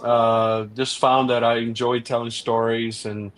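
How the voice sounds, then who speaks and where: A middle-aged man speaks as a podcast guest in an earnest, animated way. He restarts often and leans on fillers as he explains his views. His voice sits at an ordinary middle pitch. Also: unhurried. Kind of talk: casual interview chat.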